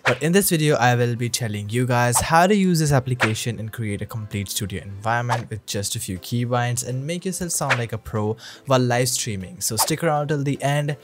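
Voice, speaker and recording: A man narrating a scripted software tutorial as an upbeat, instructional YouTube host who addresses viewers directly. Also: medium pitch, fairly quick, few pauses, noisy background. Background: background music.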